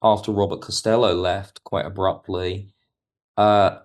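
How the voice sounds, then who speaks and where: A man speaking as an expert guest in an interview, explaining things in a measured, digressive way. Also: sits low, flat delivery, a little mellow. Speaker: podcast guest, television history expert. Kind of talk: conversational interview commentary.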